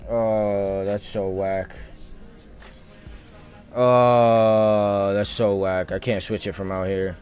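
A man's voice: on the low side, flat delivery, very slow, pauses often, darkish tone. A man doing casual live gameplay commentary as a streamer, talking to himself in slangy, sweary asides. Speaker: game streamer. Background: music and game audio.

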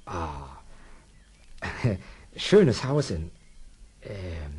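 An actor playing a kindly, simple-minded man in a children's radio play, speaking in halting, broken sentences with mumbled repetitions. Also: low voice, sing-song, darkish tone, breathy edge, heavily acted. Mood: halting, pleased.

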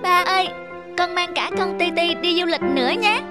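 A small, plaintive cartoon boy acted by a woman with an extremely high voice. He sounds childlike and a bit spoiled. Music is clearly playing behind him. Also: normal speed. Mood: eager. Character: spoiled, whiny little boy softening into remorse. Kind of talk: cartoon voice acting.

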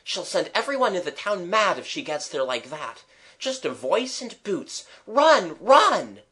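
A narrator voicing a bossy, excitable schoolboy who leads the others in a children's audiobook adventure. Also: medium pitch, measured pace, breathy edge, voice pushed up, full character voice. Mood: alarmed, commanding. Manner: urgent, excitable.

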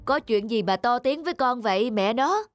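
A man voicing a busy, practical father of a schoolboy in an animated family story, brisk and instructive. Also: very high-pitched. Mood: fatherly, encouraging. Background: music.

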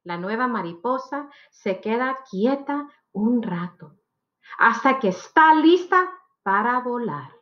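Woman, 30s, teacher read-aloud: high, animated voice, deliberate phrasing, slightly muffled lo-fi home recording. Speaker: teacher reading picture books aloud. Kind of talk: slow, expressive read-aloud for children.

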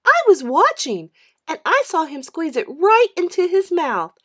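A high-pitched little girl from a storybook, acted by a woman reader. She is bossy and indignant, insisting loudly and scolding as she tattles, with a voice that jumps around. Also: big pitch swings, a little slow, full character voice. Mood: insistent.

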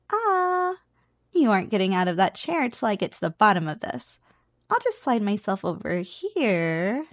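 Woman acting a teasing, high-voiced slime girl. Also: no echo, clean studio sound, full character voice. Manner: playful, coaxing, intimate address to the listener. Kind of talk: ASMR roleplay.

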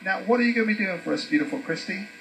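A male emcee on a cruise ship stage, talking into a handheld mic in an upbeat, coaxing way as he rouses the crowd. His voice runs fairly high. A live band plays clearly behind him. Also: lively intonation, racing, rough recording. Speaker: show host, emcee. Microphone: handheld microphone. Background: live band music.

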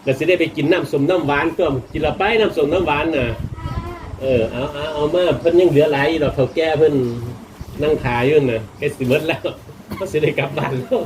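A man's voice: a little high, steady tone, brisk. A man with an Isan accent from northeastern Thailand, a Buddhist monk talking in a folksy, colloquial way to temple visitors and giving blessings. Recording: noisy live recording with background ambience.

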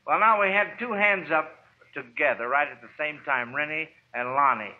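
A man's voice: fairly high pitch, normal speed, soft background music. A man hosting a 1940s radio quiz show in an encouraging manner. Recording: muffled, noisy vintage radio recording.

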